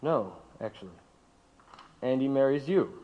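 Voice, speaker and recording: An actor playing a stern but polite government agent, clipped and insistent, in an audio drama interrogation. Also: male voice, medium pitch, expressive, measured pace, lightly acted. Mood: matter-of-fact. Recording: muffled, reverberant room sound.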